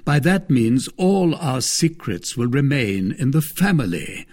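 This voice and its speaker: A man reading the part of a cold, contemptuous English nobleman, measured and mocking. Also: medium pitch, very animated, darkish tone, voice pushed up. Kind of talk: audiobook character reading.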